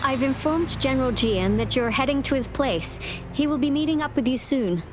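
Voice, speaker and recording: A woman's voice: high voice, animated, normal speed, background noise. A woman playing a composed, reassuring young official in a game cutscene. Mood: assured. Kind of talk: dramatic game cutscene acting. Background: music.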